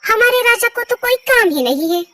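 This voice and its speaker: A woman's voice: extremely high pitch, animated, normal speed, sharp tone, recorded from a distance, full character voice. A woman voicing a stubborn, scornful auntie bird who grumbles in a cartoon story. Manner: scornful, complaining.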